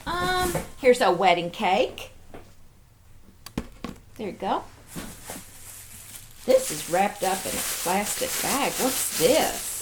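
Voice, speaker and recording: A woman's voice: medium pitch, sing-song, slow, pauses often, noisy background. A woman hosting a casual show-and-tell, chatting playfully and cooing as if to little toys and viewers. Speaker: host of a toy show-and-tell video.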